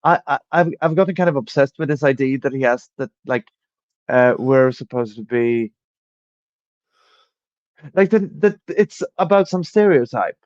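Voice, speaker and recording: Male co-host, halting profane chat, dull lo-fi sound, dry. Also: mid-range voice, animated, a little mellow, no room sound. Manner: halting, profane, many restarts. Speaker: podcast co-host. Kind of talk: casual reaction chat.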